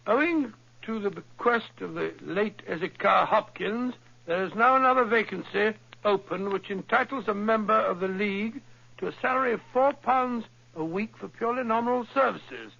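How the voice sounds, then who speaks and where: An eager, politely astonished Victorian doctor in a vintage radio play. The voice sits high, with a bright, gravelly edge. The recording is dull and lo-fi. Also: male voice, normal speed, full character voice. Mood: reading aloud. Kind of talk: old-time radio drama.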